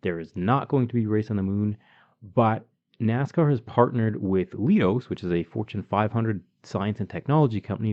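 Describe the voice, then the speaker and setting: A Canadian man with a lowish, mellow voice talks through engineering news in a relaxed podcast chat, filling pauses with um and you know. The sound is a little dull and lo-fi. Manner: explanatory, chatty, with many ums and you-knows. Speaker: podcast co-host.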